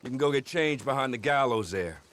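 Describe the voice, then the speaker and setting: An actor playing a determined American outlaw organiser in a western video game, plain-spoken and giving instructions. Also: male voice, mid-range voice, animated, a little fast, a bit bright, slight background noise, natural voice. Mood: confident, wry. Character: rugged, determined Old West outlaw gang organiser.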